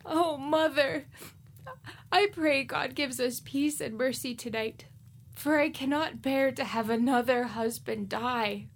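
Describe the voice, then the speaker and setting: Woman playing a scared pious young bride, really high voice, slowish, pleading, radio drama. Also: full character voice. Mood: sorrowful. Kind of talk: audio drama acting.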